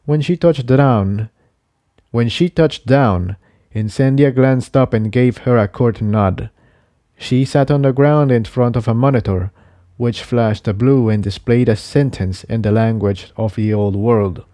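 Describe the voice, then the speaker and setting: A man's voice: mid-range voice, unhurried, mellow, dry recording, clean studio sound. A man reading a scripted fantasy adventure story as narrator. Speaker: fan fiction narrator.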